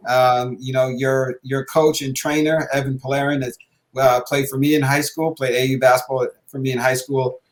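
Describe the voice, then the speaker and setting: A middle-aged man hosting a show conversationally, halting often with filled pauses. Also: mid-range voice, level tone. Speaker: podcast host, basketball coach.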